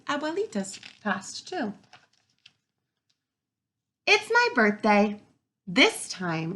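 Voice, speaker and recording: A woman reading a picture book aloud to young children like a teacher, expressive and pausing to ask wondering questions. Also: medium pitch, vocal fry. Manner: expressive, pauses to pose questions to young listeners.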